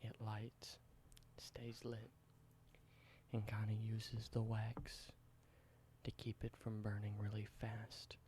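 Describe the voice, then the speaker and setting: Man, soft close whisper, low and monotone, patient and simple explanations, hissy recording. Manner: softly whispering, explaining everyday objects slowly and simply. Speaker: ASMR creator. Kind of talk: whispered ASMR roleplay.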